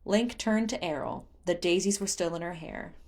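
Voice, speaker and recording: Woman reading a fantasy story audiobook style, voice a bit on the low side. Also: lively intonation, normal speed, darkish tone. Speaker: audiobook narrator. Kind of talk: scripted fan fiction narration, storytelling.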